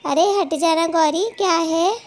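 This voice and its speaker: A woman's voice: very high-pitched, measured pace, darkish tone, a little hiss or hum. A woman voicing a quarrelsome, hot-tempered female cartoon bird with an emphatic, taunting, scolding way of talking. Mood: combative scolding. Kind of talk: animated cartoon dialogue.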